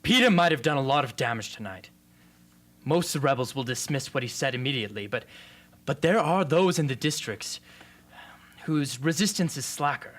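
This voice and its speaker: A man's voice: mid-range voice, expressive, normal speed, a bit bright, lightly acted. A man playing an earnest, defiant young rebel and loyal friend in an amateur radio drama. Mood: serious, measured.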